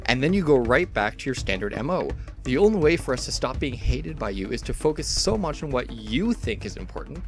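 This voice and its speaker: A man's voice: medium pitch, sing-song, brisk, hardly any pauses, soft background music. A man dramatically reading the part of a combative, exasperated online debater, argumentative, emphatic and sweary.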